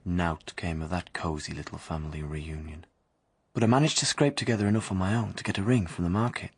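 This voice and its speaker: A man's voice: sits low, fairly quick, husky, no echo. A young man acting a blunt, romantic working-class drifter in a radio play, with colloquial, plain-spoken delivery. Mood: wistful. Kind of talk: radio play acting and first-person narration.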